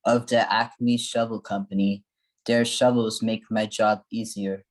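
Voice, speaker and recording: An older man playing a tough New York type in a radio play, deadpan, one word at a time. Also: on the low side, unhurried, close to an everyday voice.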